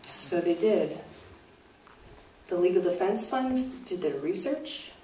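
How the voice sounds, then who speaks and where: Roomy, distant low-voiced woman presenting. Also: animated, slow pace, warm tone. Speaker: puppet show performer and presenter. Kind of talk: scripted live stage presentation. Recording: roomy, distant mic, faint noise.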